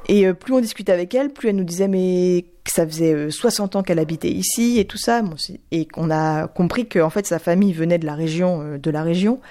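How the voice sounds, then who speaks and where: A woman with a low, mellow voice tells a lively story in an interview. She sounds amused throughout. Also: quick pace, few pauses. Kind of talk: casual anecdote.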